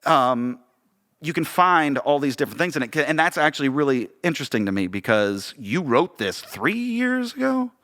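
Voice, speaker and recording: A man's voice: fairly high pitch, sing-song, moderate pace, a bit bright. A man with an American accent talking casually on a podcast as co-host, often searching for words and repeating phrases.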